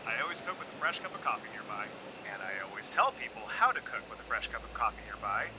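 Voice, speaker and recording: A man's voice: fairly low pitch, big pitch swings, fast talker, background noise. A man playing a chatty, quirky radio cooking show host in a video game, talking in a playful, melodramatic way with folksy asides. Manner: theatrical, playful, folksy asides, mock-solemn flourishes.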